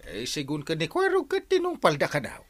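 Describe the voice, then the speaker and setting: Actor as wildly animated comic village captain. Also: male voice, fairly high pitch, fairly quick, heavily acted. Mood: matter-of-fact. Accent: Ilocano Filipino. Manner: swooping exclamations, stammering openers. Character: excitable comic village captain, nosy, good-natured man. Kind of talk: Ilocano radio comedy drama acting.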